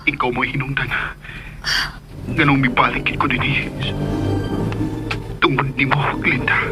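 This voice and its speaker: A man's voice: mid-range voice, level tone, a little slow, loud music behind the voice, lightly acted. An actor playing a teasing, smitten young businessman in a romantic radio drama. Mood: teasing, affectionate.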